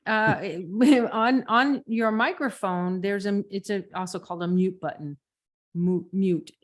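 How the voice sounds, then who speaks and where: A woman's voice: medium pitch, measured pace. A woman co-hosting and coaching on a podcast in casual, giggly conversation, repeating words for emphasis. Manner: giggly, laughs often between phrases. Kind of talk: casual podcast teaching chat.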